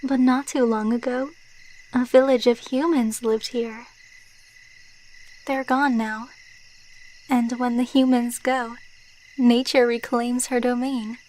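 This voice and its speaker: ASMR roleplay: actress as female vampire lover, ageless and sentimental. Fairly high, gentle, intimate delivery, taken very slowly. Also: flat delivery. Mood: calm, reflective. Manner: soft, intimate, unhurried, sentimental. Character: tender, wistful immortal female vampire girlfriend.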